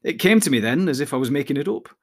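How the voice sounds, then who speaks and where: A man's voice: on the high side, expressive, rapid-fire. A man reading aloud, voicing a wry, reflective male hobbit leader.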